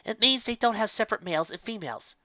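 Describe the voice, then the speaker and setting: A bookish young female unicorn, voiced by a woman reading aloud, explains everything with eager precision. The tone is bright and crisp, a touch higher than the reader's own. It sounds slightly muffled and dry, like a lo-fi home recording. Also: animated, fast talker, dry recording. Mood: earnest lecturing.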